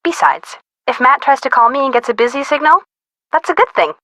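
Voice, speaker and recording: A woman's voice: high voice, very animated, fairly quick, pushed and bright, no room sound, full character voice. A woman playing a bubbly, boy-crazy teenage girl sidekick in a video game, gushing and playful. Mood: giddy, smitten.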